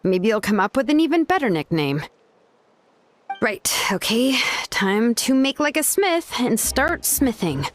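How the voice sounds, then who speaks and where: A woman voicing a laid-back, expert female blacksmith in a game cutscene, talking in a relaxed, offhand way with frequent "uh"s. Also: a little high, unhurried. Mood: amused. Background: game background music.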